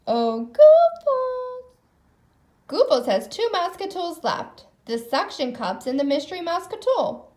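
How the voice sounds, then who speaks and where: A woman reading a children's story aloud in an expressive narrating style. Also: sits high, normal speed. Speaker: storybook reader.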